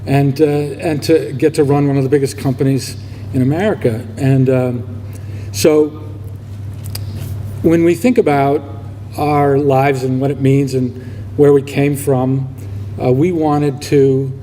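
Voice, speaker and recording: A man in his sixties giving an informal, reflective speech in a halting way. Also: mid-range voice, dark tone. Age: 60s. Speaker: donor speaking at a ceremony. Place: large room, public event. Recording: echoey hall with background noise.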